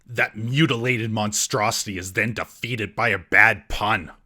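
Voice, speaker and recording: A man's voice: on the low side, a little slow, pushed and bright, a little hiss or hum. A man narrating a scripted comedic movie roast as host, in a sarcastic, mocking manner.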